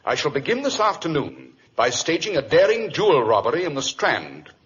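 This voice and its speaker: A man with a British accent playing a keen, imperious, sardonic Victorian detective in an old radio drama, crisp and dryly condescending. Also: a little high, wildly swooping pitch, normal speed, a bit bright. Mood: dramatic reading. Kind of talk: 1940s radio acting. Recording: muffled, noisy old radio recording.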